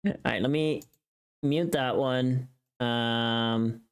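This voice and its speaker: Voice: mid-range voice, steady tone, drawn-out words, clear, resonant tone. A livestream host chatting casually, rambling and thinking aloud to themselves.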